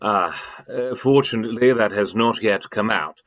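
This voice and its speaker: An actor playing a pompous, imperious elder-brother government official in a radio drama, with emphatic theatrical delivery. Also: male voice, on the low side, normal speed, fairly bright tone. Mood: grave, indignant.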